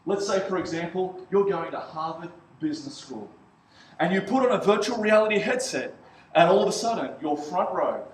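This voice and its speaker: Upbeat loud guy talking at a conference, voice a bit high, big echoey room with faint hum. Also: moderate pace. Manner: energetic, asks the audience questions. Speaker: conference speaker. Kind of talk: conference talk. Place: large hotel function room. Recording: echoey hall with faint ambience.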